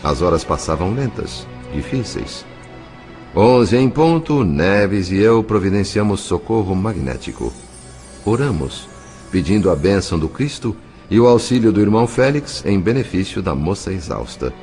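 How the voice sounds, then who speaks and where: A man's voice: low-pitched, a little mellow. A man with a Brazilian accent narrating an audio novel in a solemn, literary, carefully phrased style. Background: faint music.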